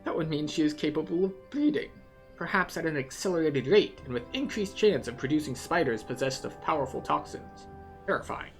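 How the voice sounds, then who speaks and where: An excitable male alchemist from a fantasy game, acted out by a player, speaks in a raised pitch with theatrical formality. He is grateful and exclamatory, with music faintly behind him. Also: a little fast, close to an everyday voice. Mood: amazed, grateful.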